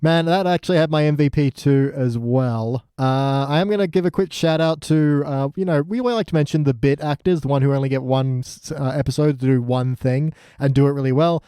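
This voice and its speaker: A man co-hosting a podcast, chatting casually about a show and retelling it in an animated, loose way. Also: medium pitch, a little fast, hardly any pauses, clear, resonant tone, no echo, studio quality. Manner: animated retelling with mocking paraphrased dialogue and swearing. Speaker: podcast co-host. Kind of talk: casual review chat.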